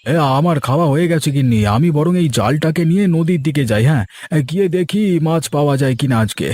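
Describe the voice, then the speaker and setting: A man's voice: a little high, animated, fast, a little mellow, dry recording. A man voicing a good-natured, hard-working poor village fisherman in a cartoon moral story, with a folksy way of thinking aloud. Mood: eager. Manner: talks to himself aloud, folksy. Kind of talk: animated moral story voice acting.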